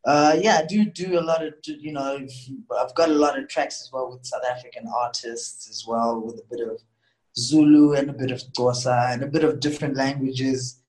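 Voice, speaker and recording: A man's voice: medium pitch, steady tone, normal speed, a little mellow, low-quality recording. A man with a Zimbabwean accent, a musician guest speaking in a casual, conversational interview style.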